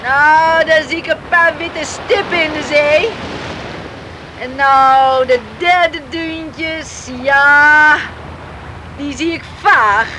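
Sturdy, caring farm woman for radio drama, high-pitched, matter-of-fact, against noisy surf. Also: extremely slow. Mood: intent, concerned. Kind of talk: Dutch radio play acting.

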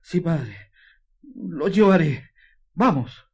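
A distraught father from a mining town in a radio play, acted by a man, with a Chilean accent. His voice sits fairly high for a man. He is fearful and earnest, stumbling over his words. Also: lively intonation, slow. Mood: anxious. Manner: agitated, stammering, earnest. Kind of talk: radio drama acting.

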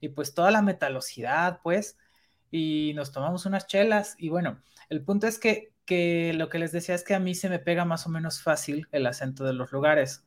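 Low-voiced chilanga woman chatting. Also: moderate pace. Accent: Mexico City Spanish. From Mexico City. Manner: chatty, laughs often, trails into asides. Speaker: podcast co-host. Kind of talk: casual chat.

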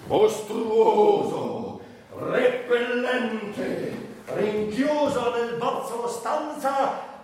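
An actor playing a bitter, sardonic, tormented man confined by illness in a theatrical monologue, volatile between muttering and declaiming. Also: sits high. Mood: restless, brooding. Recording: very echoey, distant, faint noise.

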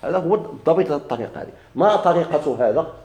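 A man with a Moroccan Arabic accent teaching Arabic grammar. He explains slowly, repeats and stretches key words, and puts questions to his students. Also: on the high side, animated, a little mellow, lo-fi sound. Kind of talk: recorded grammar lesson. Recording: echoey classroom recording.

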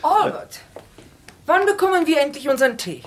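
A woman's voice: high-pitched, animated, normal speed, slight background noise. An actress playing an assertive, coolly reasoning lady doctor in a German radio mystery, speaking precisely and emphatically. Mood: imperious. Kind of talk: German radio crime drama acting.

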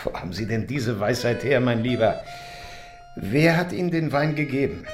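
A man's voice: mid-range voice, lively intonation, moderate pace, lightly acted. A man acting in a radio drama as a brusque, sardonic doctor who talks to his patient in a patronising, scolding way. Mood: sceptical, brisk. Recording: echoey room, music underneath.

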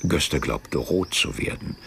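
Very deep, creaky male narrator. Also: expressive, measured pace, noisy background. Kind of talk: scripted literary narration for radio drama. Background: music behind the voice.

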